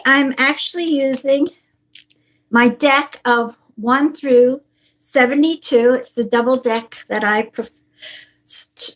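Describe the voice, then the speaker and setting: Woman psychic hosting a live stream, high voice, slow delivery, congested from a cold. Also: rough recording. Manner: slow, warm greetings, calls viewers honey and sweetheart. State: stuffy with a cold. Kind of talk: live stream greeting viewers. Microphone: device on a table.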